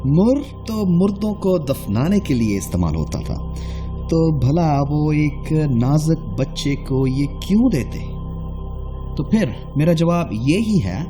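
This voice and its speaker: An actor playing a polite, thoughtful taxi driver who thinks aloud as a quiz show contestant in a radio play. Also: male voice, a little high, big pitch swings, music in the background. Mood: earnest, pondering. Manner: pondering aloud, polite and deliberate.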